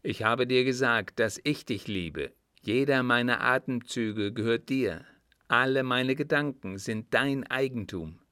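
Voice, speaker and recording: A storybook lover as a male narrator plays him, ardent and possessive. His low voice presses and pleads with hot-tempered urgency, in a very dry recording. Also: fairly even intonation, slow, fairly bright tone, no echo. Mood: insistent, possessive. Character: passionate, possessive Frenchman wooing a woman, insistent and hot-tempered. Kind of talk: audiobook character dialogue.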